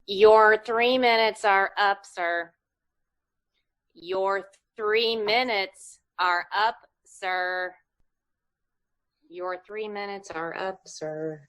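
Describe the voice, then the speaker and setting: Actress as impassive female telephone operator, radio drama, slow measured phrasing, medium pitch. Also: fairly bright tone, rough recording. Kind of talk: old-time radio drama acting.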